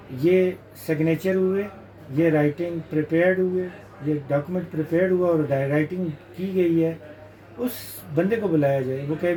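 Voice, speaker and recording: A man's voice: fairly high pitch, warm tone, low-quality recording. A man with a Pakistani accent explaining law as a lawyer, informally and methodically, point by point. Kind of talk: informal spoken legal explanation.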